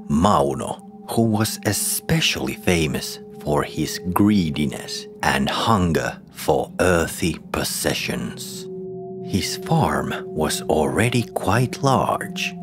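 Male horror narrator, very low, unhurried, hushed and breathy. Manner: slow, hushed, often half-whispered. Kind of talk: scripted horror story narration.

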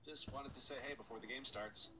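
A man's voice: medium pitch, steady tone, fairly quick, background noise. A man voicing a confused, exasperated newcomer in a comedic cartoon. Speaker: voice actor playing a new player. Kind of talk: comedic animated character voice.

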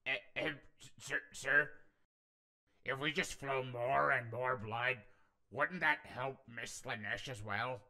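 Man voicing a sharp, timid demon minion. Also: medium pitch, takes it slowly, exaggerated voice. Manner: hesitant, deferential. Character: timid, hesitant demon minion questioning his master. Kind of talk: comic dub voice acting.

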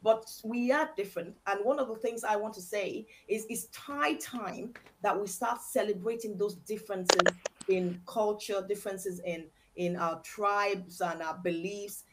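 A woman's voice: medium pitch, unhurried, noisy background. A middle-aged woman with a Nigerian accent talks with conviction on a video call from a room at home. She stresses her points and checks in with her listener as she goes. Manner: emphatic, repeats "okay?" for stress. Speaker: guest. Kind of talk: passionate discussion. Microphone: video call webcam.

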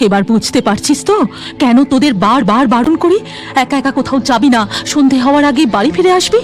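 A woman's voice: sits high, lively intonation, quick pace, soft background music. A woman voicing a bossy but caring village mother in a cartoon, firm and instructing. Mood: stern. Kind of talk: cartoon voice acting.

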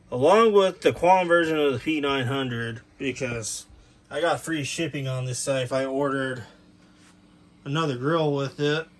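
A man giving a casual hands-on demonstration as a hobbyist, explaining in a halting way with self-corrections. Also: on the high side, fairly bright tone. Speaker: hobbyist presenter. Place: cluttered bedroom, indoors. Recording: clear background noise, room ambience.

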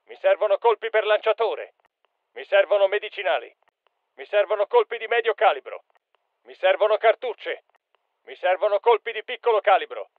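An actor playing an urgent special forces soldier in a video game, giving clipped, emphatic callouts. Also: male voice, sits high, big pitch swings, normal speed, no room sound, narrow, tinny audio. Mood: firm, alert. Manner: clipped, emphatic military delivery. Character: urgent male special forces soldier calling out battlefield alerts.

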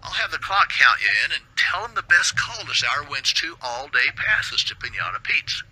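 A man's voice: a little high, very animated, normal speed, pushed and bright, slightly rough, heavily acted, low-quality recording. An actor playing a sarcastic, condescending radio station boss in a video game, belittling as he rambles through instructions.

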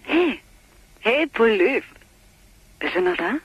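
An actress playing a sharp-witted, teasing lover of a policeman in a radio crime drama, speaking through a telephone filter. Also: on the high side, big pitch swings, takes it slowly, slightly rough, no echo, full character voice. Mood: affectionate, ironic. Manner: teasing, ironic. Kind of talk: naturalistic German radio crime drama acting. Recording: muffled telephone line with noise.

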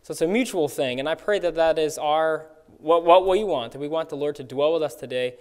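A young man speaking at a church pulpit, praying and sharing a short devotional in an earnest, halting way. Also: on the high side, clean tone. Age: young adult. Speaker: worship leader. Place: church sanctuary, at a wooden pulpit. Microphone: pulpit mic. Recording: long reverb of a church hall.

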